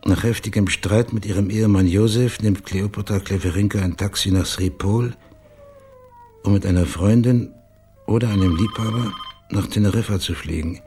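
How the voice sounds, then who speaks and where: An actor playing a brooding private detective in a radio play, speaking in terse, clipped phrases with a husky voice. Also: male voice, on the low side, darkish tone, natural voice. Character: brooding male private detective narrating his own case. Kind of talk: radio play monologue and dialogue.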